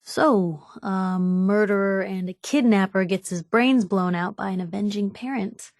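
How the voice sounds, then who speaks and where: A woman's voice: medium pitch, a little slow, a little mellow, no room sound, lightly acted. Wry, nosy young reporter played by a woman, close dry sound. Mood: wry, earnest. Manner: wry, inquisitive, colloquial and punchy. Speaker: video game voice actor. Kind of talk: video game companion dialogue.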